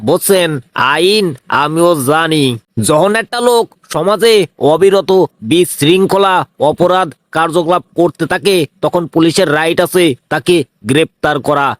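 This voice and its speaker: Man acting cartoon police inspector, bullying, self-righteous, Bangladeshi regional accent, raised pitch, close dry recording. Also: a little slow, no room sound, natural voice. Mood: accusing. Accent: Bangladeshi regional Bengali. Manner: blunt, taunting, threatening. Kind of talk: comic cartoon dialogue.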